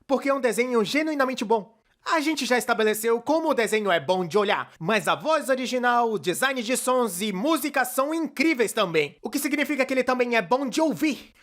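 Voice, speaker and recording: A man's voice: very high-pitched, lively intonation, a little fast, a bit bright. A man with a Brazilian accent playing a chatty, playfully sarcastic cartoonist narrator in a scripted fan dub. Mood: chatty, enthusiastic. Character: chatty, excitable young male cartoonist narrator.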